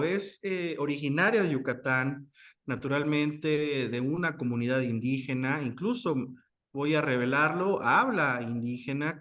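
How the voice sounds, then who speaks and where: A man's voice: medium pitch, fairly bright tone. A man with a Mexican Spanish accent hosting a formal interview, reading an introduction and addressing his guest with ceremonious courtesy. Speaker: interview host.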